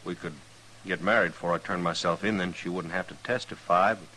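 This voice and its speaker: An actor playing an impulsive, lovestruck outlaw trying to reform in an old radio western, earnest, halting and folksy. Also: male voice, sits low, fairly even intonation, pushed and bright. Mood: hesitant, earnest. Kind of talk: old-time radio western drama acting. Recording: noisy vintage radio recording.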